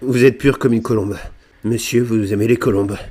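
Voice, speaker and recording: A man's voice: fairly low pitch, fairly even intonation, normal speed, a little mellow, slight background noise. A man improvising game roleplay and chatting casually, playfully hamming it up with slang. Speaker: gaming video creator playing online roleplay.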